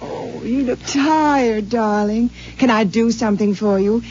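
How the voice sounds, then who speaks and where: An actress playing a sweet, doting young wife with a soft, cooing, soothing manner, in a 1950s radio drama. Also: female voice, on the high side, unhurried, close to an everyday voice. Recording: dull lo-fi 1950s radio broadcast with faint hiss.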